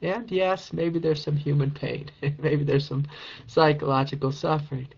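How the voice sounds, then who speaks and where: A man with a raspy voice, a meditation teacher answering a question in a calm, encouraging spiritual talk. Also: on the high side, moderate pace, muffled recording. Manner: gentle, calm and encouraging, with soft laughs.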